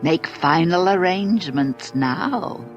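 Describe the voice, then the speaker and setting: A macabre, ghoulish host played by an actor beckons victims with mock hospitality. The delivery is very slow at a middling pitch. The recording is dull and lo-fi. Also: animated. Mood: eerie inviting. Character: ghoulish spooky host welcoming victims to their death. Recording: dull lo-fi with faint music.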